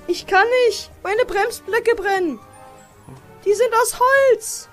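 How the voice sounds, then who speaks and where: Woman doing a frantic shrieky train, super high voice, cartoon, music behind. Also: takes it slowly, fairly bright tone, full character voice. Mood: panicked. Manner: frantic, crying out. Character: panicky, shrieking male steam engine.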